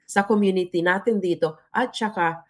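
A woman's voice: mid-range voice, normal speed. A woman co-hosting a radio program, introducing her guests with enthusiasm. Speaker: radio co-host.

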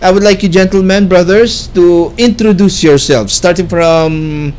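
High-voiced Filipino radio host. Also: male voice, measured pace, a little mellow. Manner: mixes Filipino and English, frequent pious interjections. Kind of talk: radio interview hosting.

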